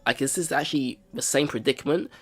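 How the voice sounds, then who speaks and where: A man's voice: medium pitch, fast. A man hosting a video game let's play, commenting to viewers and reading story text aloud.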